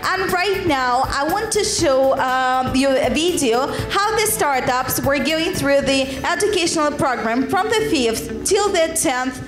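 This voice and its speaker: High-pitched woman presenting on stage. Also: lively intonation, a little slow, hardly any pauses, over music, rough recording. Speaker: co-host of a startup TV show. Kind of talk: formal event presenting. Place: stage of a live televised forum with audience.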